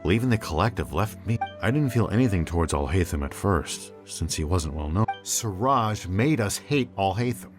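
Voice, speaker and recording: An actor playing a sober, reflective former member of a collective in an anime game. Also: male voice, sits low, animated, moderate pace, hardly any pauses, over music. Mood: reflective, regretful.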